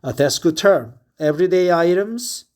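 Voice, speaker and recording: A man's voice: high-pitched, lively intonation, moderate pace, darkish tone. A man in his fifties from Korea, a native Korean speaker with a Korean accent, talking in a casual spoken diary and reading aloud, hesitant with many filler sounds. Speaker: vlogger.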